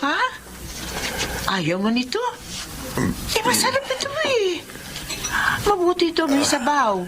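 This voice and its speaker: A woman's voice: very high voice, huge pitch swings, a little slow, heavy background noise, heavily acted. An actress playing a harried, nagging elderly Filipino mother in a radio drama. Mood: coaxing, exasperated. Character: harried elderly mother caring for stubborn sick husband.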